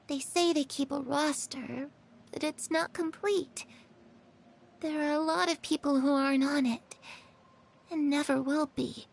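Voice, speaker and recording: A haunted little game girl, with a high voice that creaks at the edges. She speaks slowly and ominously, hushed as if sharing dark secrets, over some faint background noise. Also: exaggerated voice. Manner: slow, hushed, ominous delivery. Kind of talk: video game voice acting.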